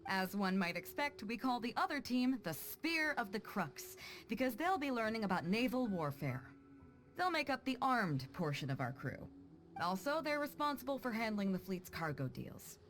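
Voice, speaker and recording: A woman's voice: on the high side, big pitch swings, moderate pace, fairly bright tone. A voice actress playing a proud, confident female sea captain with a commanding, teasing way of talking in a video game. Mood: confident, instructive. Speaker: ship captain character. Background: background music.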